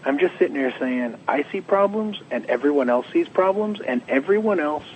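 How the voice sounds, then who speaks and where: A young adult man, a comics writer being interviewed, slightly hoarse, talking in a rambling, animated way and acting out quotes. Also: a little high, normal speed, a little hiss or hum, rough recording. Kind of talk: casual interview.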